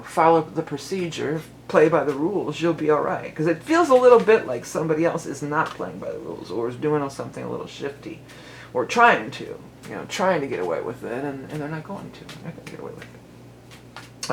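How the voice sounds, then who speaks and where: Lady reading tarot cards, chatty and rambly, lots of you knows, bit of hiss behind her. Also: low-quality recording. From Cleveland, Ohio. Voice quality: lower voice than she once had. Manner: rambling, chatty, many fillers and restarts. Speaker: tarot reader and musician. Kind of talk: casual tarot reading vlog.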